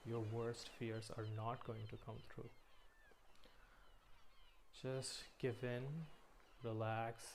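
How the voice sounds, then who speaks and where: A man leading a guided meditation in a slow, soothing manner, pausing between short phrases. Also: mid-range voice, slight background noise.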